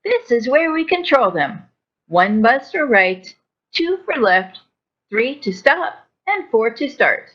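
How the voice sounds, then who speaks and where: A playful, faintly sinister clown girl for an audio play. Her very high voice swoops slowly through childlike phrases, on a muffled recording. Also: sing-song, fairly bright tone, full character voice. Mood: playful, instructing. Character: sweetly sinister, playful female clown Clara. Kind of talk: audio drama acting. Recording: dull, narrow lo-fi microphone sound.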